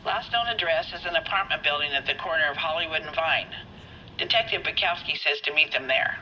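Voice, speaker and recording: A woman's voice: sits low, brisk. An efficient woman dispatcher in a period crime game. She relays messages formally and matter-of-factly through a dull, noisy police radio line. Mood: businesslike. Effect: police radio or telephone.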